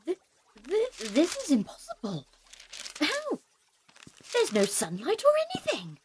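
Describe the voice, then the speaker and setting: A woman's voice: high voice, wildly swooping pitch, measured pace, a little hiss or hum, heavily acted. A young woman playing a defensive, self-deprecating botany student in an audio drama. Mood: shocked.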